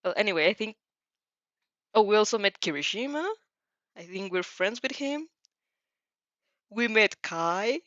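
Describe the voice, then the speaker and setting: A woman's voice: medium pitch, lively intonation, unhurried, pauses often, fairly bright tone, no room sound, dull audio. A woman hosting a casual fanfiction read-aloud, hesitant and giggly, often correcting herself as she goes. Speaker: host of fanfiction reading show.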